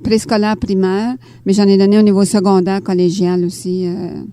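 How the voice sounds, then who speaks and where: An older woman from Ontario with a Canadian French accent, answering interview questions conversationally and pausing often as she thinks. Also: mid-range voice, little pitch movement, dark tone, clear, resonant tone, no echo. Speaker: interview guest, author and teacher. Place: radio studio.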